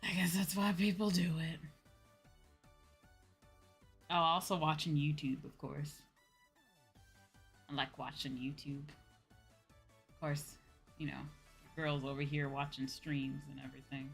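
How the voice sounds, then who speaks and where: A woman's voice: low-pitched, steady tone, unhurried, gravelly, long pauses, slightly rough, soft background music. A woman livestreamer chatting casually, in an informal, slangy, ranting way that acts out her own reactions. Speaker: VTuber livestream host.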